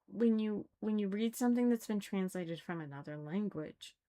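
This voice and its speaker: A woman giving casual, critical commentary, thinking aloud haltingly and repeating phrases for emphasis. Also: medium pitch, animated, mellow. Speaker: reader commenting on a religious text.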